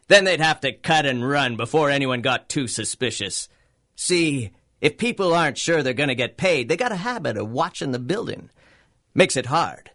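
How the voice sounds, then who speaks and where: A man's voice: mid-range voice, pushed and bright. An actor playing a short-tempered, swaggering gangster boss with slangy tough-guy talk in a 1930s-style radio drama.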